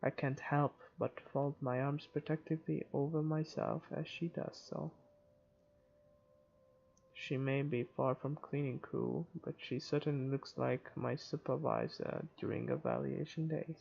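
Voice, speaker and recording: Guy reading a visual novel out loud, lots of fry, kinda dull mic. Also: mid-range voice, fairly even intonation, unhurried, a little hiss or hum. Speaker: let's player reading a visual novel aloud. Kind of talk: dramatic read-aloud narration.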